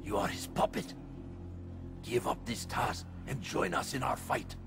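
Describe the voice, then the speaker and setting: A man's voice: medium pitch, unhurried, creaky, music in the background. An actor playing a stern, persuasive male conspirator in a video game, terse and commanding. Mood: urgent, persuasive. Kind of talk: video game cutscene dialogue.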